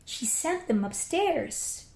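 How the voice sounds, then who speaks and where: A woman storyteller reading a children's book aloud expressively and deliberately, with careful pauses. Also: extremely high pitch, sing-song, vocal fry, darkish tone. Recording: roomy echo.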